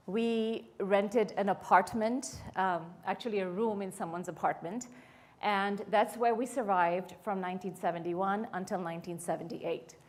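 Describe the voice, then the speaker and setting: A woman in her fifties, from Bangladesh, telling her life story to an audience as a guest speaker and asking them questions along the way. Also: medium pitch. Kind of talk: personal story told to an audience.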